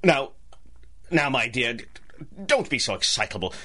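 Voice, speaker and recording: A man's voice: medium pitch, very animated, normal speed, pushed and bright. An actor playing a condescending, theatrical male villain in a melodramatic radio drama. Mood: smug.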